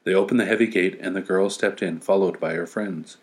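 A man's voice: low voice, fairly even intonation, a little fast. A man reading a story as narration, with wry, playful asides. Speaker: story reader.

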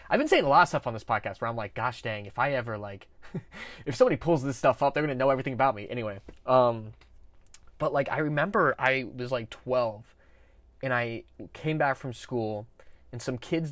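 A man hosting a podcast who stutters, with repeated sounds at the starts of words. His voice sits at a middle pitch, and he talks in a soft, confessional way. The sound is dry and close, with no room echo. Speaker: podcast host. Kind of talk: candid podcast conversation.

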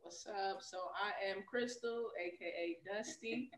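Woman guest from Chicago, quick to laugh, mid pitch, echoey room. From Chicago, USA. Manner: laughs easily, rushes ahead in bursts when telling stories. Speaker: podcast guest. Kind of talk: casual interview chat.

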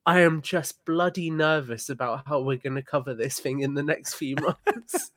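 A man's voice: a little high, lively intonation, normal speed, a bit bright, dry recording, studio quality. A man, a podcast guest chatting casually and with enthusiasm.

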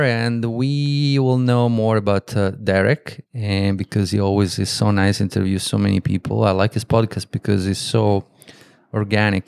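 A man with an Italian accent talks in a fairly low voice, rambling along in relaxed, loose sentences. The recording is dry and close, with no room sound. He sounds like a friend chatting on a podcast. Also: clear, resonant tone, no room sound. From Italy. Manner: loose, rambling sentences full of "you know". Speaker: guest interviewer. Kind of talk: casual conversation.

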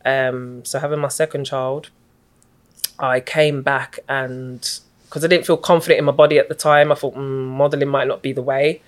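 A middle-aged woman, a guest in an interview, recounting her story in a reflective, even-toned, unhurried way. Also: very deep voice. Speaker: guest, community activist.

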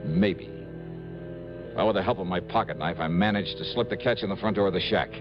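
An actor playing a dogged, suspicious American investigator in an old radio drama, with clipped, insistent delivery and musing hesitations. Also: male voice, sits low, fairly quick, lightly acted. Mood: brooding narration. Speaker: lead actor and narrator of a detective radio drama. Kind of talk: 1950s-60s American radio drama acting. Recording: dull vintage radio recording with music.

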